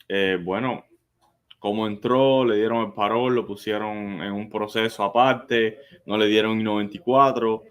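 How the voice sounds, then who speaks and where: Lowish-voiced male immigration lawyer. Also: fairly even intonation, unhurried, smooth. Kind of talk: live legal advice, explanatory.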